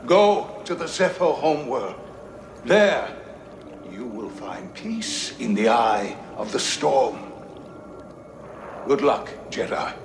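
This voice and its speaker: An actor playing a wise, solemn old Jedi master in a game's recorded message, speaking in a stately, deliberate way. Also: male voice, medium pitch, animated, noisy background. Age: older. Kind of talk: game cutscene acting, solemn monologue. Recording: echoing chamber, clear background ambience.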